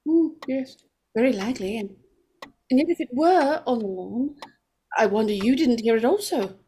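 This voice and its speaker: Casting: actress as timid young bride-to-be, very high voice, hesitant and uneasy, vintage radio-mystery style. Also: female voice, lively intonation, warm tone, a little hiss or hum, close to an everyday voice. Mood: doubtful. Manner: halting, tentative. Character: Julia, nervous, hesitant young bride-to-be, sweet sister. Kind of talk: live old-time radio mystery acting.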